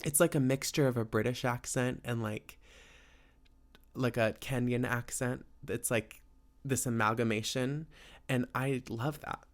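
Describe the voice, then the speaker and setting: A man's voice: medium pitch, fairly even intonation, unhurried. A man hosting a casual solo podcast, laid-back and rambling, with plenty of fillers and tangents. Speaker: podcast host.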